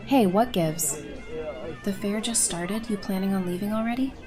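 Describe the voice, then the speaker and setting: A woman's voice: medium pitch, normal speed, lightly acted. A woman playing a blunt, feisty survivor. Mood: puzzled, challenging. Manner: blunt, incredulous, slangy. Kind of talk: audio drama acting. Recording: music bed behind the voice.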